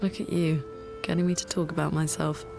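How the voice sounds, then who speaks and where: A woman's voice: low-pitched, little pitch movement, a little fast. An actress playing a composed, dry-witted young woman scholar in a video game cutscene, matter-of-fact and wry. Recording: studio voice under clear background music.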